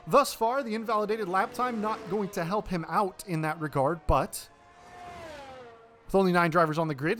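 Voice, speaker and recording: A man's voice: a little high, a little hiss or hum. A man with an American accent giving chatty play-by-play commentary on a sim racing league race. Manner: chatty play-by-play with fillers and self-corrections.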